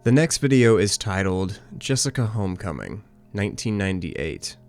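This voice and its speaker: A man narrating a scripted analytical video essay in a measured, conversational, explanatory way. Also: on the low side, big pitch swings, a little mellow. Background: background music.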